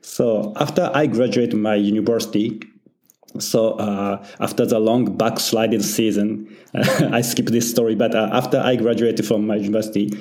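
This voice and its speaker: A middle-aged Japanese man with a Japanese accent, a pastor answering interview questions in halting English full of pauses and small laughs. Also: on the low side, steady tone, a little mellow. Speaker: church founder and senior pastor.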